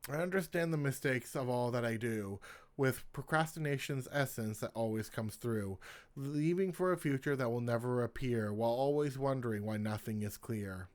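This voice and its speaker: A man's voice: medium pitch, normal speed. Casual man reading his own poems. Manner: informal, restarts lines when he stumbles. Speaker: poetry channel host.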